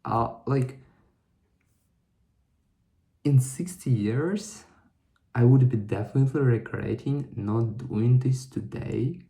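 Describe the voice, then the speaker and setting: Slow gravelly young man thinking aloud. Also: medium pitch, warm tone. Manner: halting, thinking aloud with many restarts. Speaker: vlogger. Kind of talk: reflective solo vlog monologue.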